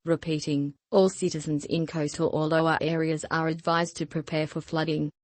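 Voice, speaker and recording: A woman reading a scripted emergency broadcast announcement in a formal, impersonal and measured way. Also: sits low, level tone, no echo.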